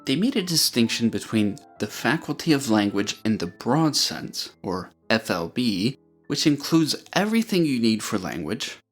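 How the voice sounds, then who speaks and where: A man narrating an explanatory video, speaking in a clear, measured way and walking through ideas step by step. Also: medium pitch, fairly bright tone.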